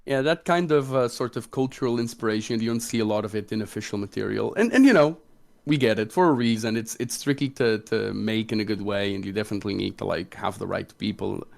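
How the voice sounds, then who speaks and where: A man's voice: lowish voice, fast talker, creaky. A man who designs tabletop games, chatting casually as co-host of a question-and-answer livestream.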